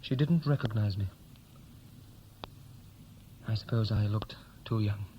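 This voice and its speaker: An actor playing a defiant, independent adult son, wounded, in a 1960s radio play. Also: male voice, lowish voice, a little slow, lo-fi sound, natural voice. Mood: wistful, bitter. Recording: hissy 1960s radio recording.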